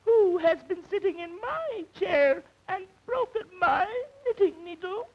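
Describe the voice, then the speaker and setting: A fussy mama bear, played by a woman, complains in a shrill, very high voice. Her lines lilt up and down at a slow pace. The old radio recording sounds dull. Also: animated, crowd noise. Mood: indignant. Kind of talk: old-time radio comedy acting.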